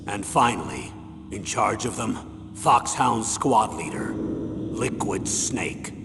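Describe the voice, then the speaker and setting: A man acting as an aging military colonel in a video game, grave and persuasive, with a gravelly voice and measured, authoritative delivery. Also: lively intonation, heavily acted. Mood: grave briefing. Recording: echoing hall, vehicle rumble noise.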